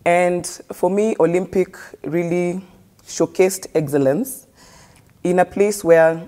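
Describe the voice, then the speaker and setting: A woman's voice: fairly low pitch, steady tone, slow pace, warm tone. A Kenyan woman, a guest in an interview, telling her life story earnestly and switching between English and street slang.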